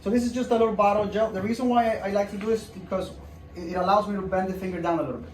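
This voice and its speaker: Male doctor, higher-pitched voice, informal and reassuring walkthrough of a procedure, picked up from a distance in an echoey room. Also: fairly even intonation, rapid-fire. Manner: informal, reassuring, repeats himself while demonstrating. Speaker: doctor performing a hand procedure. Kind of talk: casual procedure walkthrough to patient and viewers. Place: indoor clinic room. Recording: roomy, distant mic with background noise.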